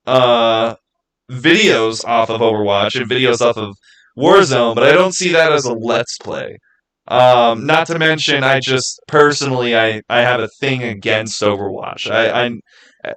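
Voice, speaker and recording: A man hosting a livestream, talking informally and haltingly as he thinks aloud and answers his viewers. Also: medium pitch, a bit bright. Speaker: streamer hosting a live channel Q&A. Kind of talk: casual livestream chat, answering viewer comments.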